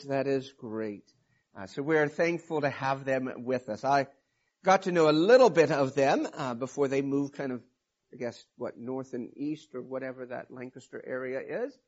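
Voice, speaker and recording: A man preaching a sermon in a hoarse, raspy voice from a cold, talking informally with many restarts. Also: medium pitch, highs cut off. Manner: informal, rambling with restarts and fillers. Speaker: pastor.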